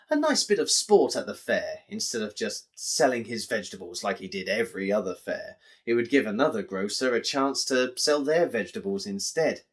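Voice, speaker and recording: An English man tells a story for children. His pitch moves around in a very lively way, and he explains things directly to his listeners with plenty of expression.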